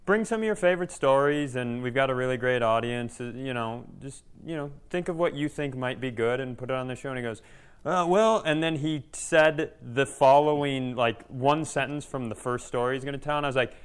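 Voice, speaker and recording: A man's voice: mid-range voice, fairly quick, smooth. A man co-hosting a podcast in casual comedic banter, swearing freely and teasing the others. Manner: profane, teasing. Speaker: podcast co-host.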